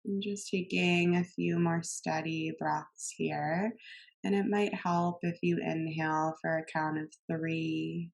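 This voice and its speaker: A woman instructor leading a guided meditation in a calm, gentle manner, giving soothing cues with long pauses. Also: deep voice, creaky, rough recording.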